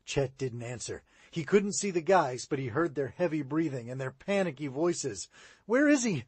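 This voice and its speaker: A man narrating a children's audiobook, his voice rising and falling with the story. The tone is a little husky and sits slightly high for a man, and the sound is close and dry. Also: normal speed, no room sound. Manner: expressive read-aloud, rising and falling. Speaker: audiobook reader.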